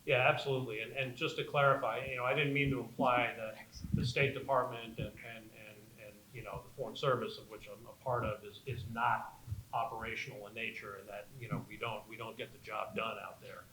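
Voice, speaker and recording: A man who serves as a diplomat, answering a panel question in a measured way, repeating words as he thinks. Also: mid-range voice, little pitch movement, pushed and bright. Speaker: Foreign Service officer on a panel. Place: auditorium. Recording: roomy hall with faint noise.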